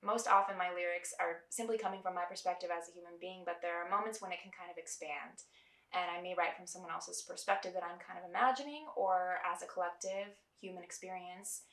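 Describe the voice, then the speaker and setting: Soft-spoken woman, roomy and distant. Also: on the low side, steady tone. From New Mexico. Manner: reflective, earnest, measured. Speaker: singer-songwriter. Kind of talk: documentary interview.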